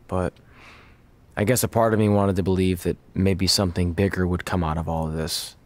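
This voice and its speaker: A man's voice: sits low, dry recording, close to an everyday voice. A man acting as a wry, wistful wrestler and loyal friend in a video game cutscene, with a conversational delivery.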